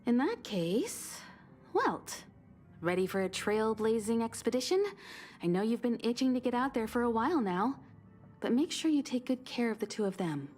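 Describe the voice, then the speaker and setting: A woman voicing a composed, authoritative crew leader in a video game, measured and formal. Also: fairly high pitch, big pitch swings, darkish tone, faint music behind. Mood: composed, decisive. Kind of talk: English video game voice acting.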